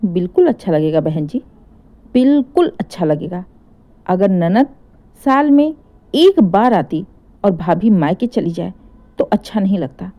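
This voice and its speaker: A woman's voice: mid-range voice, big pitch swings, measured pace, pauses often, dull audio. A woman voicing an indignant older mother defending her married daughter in a story, firm, sarcastic and emphatic. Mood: firm, defiant.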